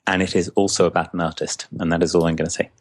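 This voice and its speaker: A young man from Scotland, a comics artist answering interview questions in a casual, halting way, full of restarts and fillers. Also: low-pitched, little pitch movement.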